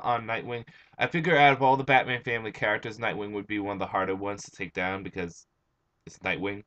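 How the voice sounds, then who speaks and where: A young man with a hoarse, tired voice, just out of bed, rambling through a casual comic book review. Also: lowish voice, a bit bright. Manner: rambling, chatty, with frequent "uh" and restarts.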